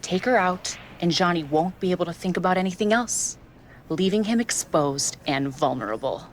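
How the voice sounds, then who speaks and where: Woman acting as wrestling villainess, lowish voice, sultry, slow teasing menace, video game cutscene, faint background noise. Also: animated, lightly acted. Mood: scheming. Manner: slow, teasing, menacing delivery with drawn-out phrases. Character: sultry, scheming female wrestling villain recruiting an ally.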